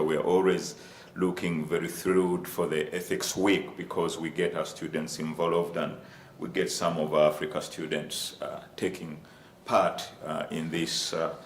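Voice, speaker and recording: Deep-voiced man giving a formal talk. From Uganda. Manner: formal and oratorical, addresses audience as ladies and gentlemen, with emphatic rises. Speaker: panelist presenting at a university. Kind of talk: formal panel presentation. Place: lecture room. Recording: echoey room with faint ambient noise.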